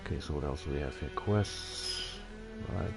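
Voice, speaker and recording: Male gaming host, deep creaky voice, musing, halting commentary, game music behind. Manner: halting, musing, puzzled asides. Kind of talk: casual gameplay commentary, thinking aloud, reading game text.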